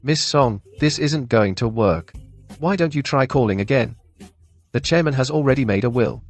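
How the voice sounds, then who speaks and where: Male actor as urgent lawyer, middling pitch, earnest, pleading, radio drama, music behind. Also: expressive, normal speed, close to an everyday voice. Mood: anxious, urgent.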